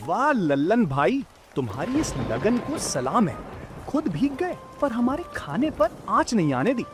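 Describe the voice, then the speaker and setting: Cartoon village diner, acted by a man: very high male pitch, lively and admiring, light music behind. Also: a little slow. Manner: enthusiastic, praising. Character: admiring, appreciative male village dhaba customer. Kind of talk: Hindi animated story dialogue.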